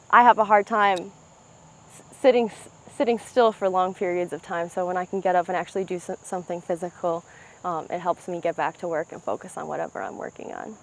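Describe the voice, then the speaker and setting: Young Midwestern woman, candid interview talk. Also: medium pitch. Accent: Midwestern American. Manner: candid, reflective, self-correcting restarts. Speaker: rapper. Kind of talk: documentary interview. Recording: noticeable background noise.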